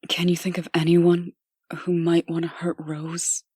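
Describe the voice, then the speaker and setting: Casting: actress as dignified woman in authority, low register, earnest and firm, for comic drama. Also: completely flat delivery, a little slow, a little mellow, slightly rough, no room sound, clean studio sound. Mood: composed, resolute. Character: proud, composed woman official, anxious for her sister. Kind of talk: comic dub dramatic acting.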